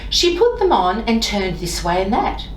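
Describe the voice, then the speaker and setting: A woman reading a children's storybook aloud in an expressive, deliberate way, with sing-song repeated phrases. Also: mid-range voice, far from the mic. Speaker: library staff member reading stories. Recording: roomy echo, off-mic, faint noise.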